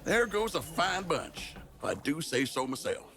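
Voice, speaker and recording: A man's voice: a little high, huge pitch swings, normal speed, creaky, fairly bright tone, exaggerated voice. A man playing a pompous small-town mayor in an audio drama, speaking with formal, oratorical grandeur. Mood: satisfied.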